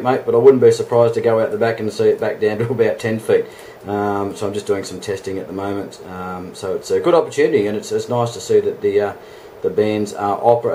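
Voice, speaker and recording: An Australian man with a fairly low voice talks as an amateur radio operator. His delivery is casual and matey, like a laid-back two-way chat. A little noise sits behind his voice. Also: darkish tone, poor audio.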